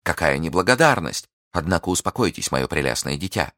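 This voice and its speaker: A man's voice: low voice, big pitch swings, brisk, fairly bright tone, no echo, clean studio sound. A man reading an audiobook, voicing a scheming nobleman who talks in a mocking, mock-gallant way.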